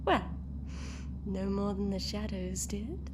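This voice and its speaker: A woman's voice: medium pitch, slow, noisy background, close to an everyday voice. A woman voicing a cruel, mocking female time-travelling villain who taunts her captives in a sing-song, theatrical way. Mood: taunting. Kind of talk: fan audio drama acting.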